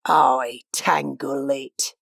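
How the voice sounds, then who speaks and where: An actress voicing a mysterious, mocking spirit of fate in a poetic drama, theatrical and incantatory. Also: female voice, very deep voice, steady tone, very slow, gravelly, fairly bright tone, dry recording, clean studio sound, exaggerated voice. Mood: cryptic.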